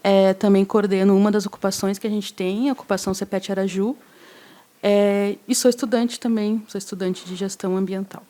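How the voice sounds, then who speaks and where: A woman's voice: medium pitch, little pitch movement, brisk, dark tone. A woman speaking as an interview guest, a housing movement coordinator, explaining in a casual, hesitant way with frequent fillers.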